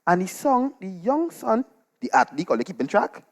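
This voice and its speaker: A man with a Trinidadian accent performing a comic, gossipy monologue on stage in dialect with animated, dramatic delivery. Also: high-pitched, moderate pace. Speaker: storytelling performer. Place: live on stage at a performance venue.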